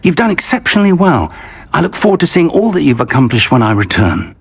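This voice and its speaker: An actor playing a kindly, scholarly older wizard professor, in scripted fantasy game dialogue. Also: male voice, on the high side, very animated, quick pace, vocal fry, slightly rough, full character voice. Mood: encouraging.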